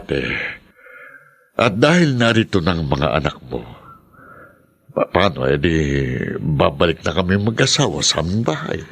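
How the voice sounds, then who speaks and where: A man acting as a gentle older uncle in a radio drama, speaking in an earnest, measured way. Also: low voice, sing-song, creaky, breathy edge, full character voice. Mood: warm, contented. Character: gentle older uncle, kindly family peacemaker.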